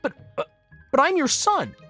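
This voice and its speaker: A woman's voice: high-pitched, huge pitch swings, normal speed, fairly bright tone, full character voice. A woman voicing a selfish, whiny adult son in a melodramatic, pleading manga dub style. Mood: indignant, desperate. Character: selfish whiny adult son begging his mother for money. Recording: music behind the voice.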